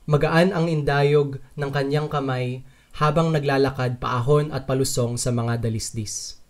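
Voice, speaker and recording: A man's voice: medium pitch, darkish tone, close to an everyday voice. A man narrating a dramatized literary story in the first person as a curious, wary traveler. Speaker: narrator and lead character of a dubbed short story.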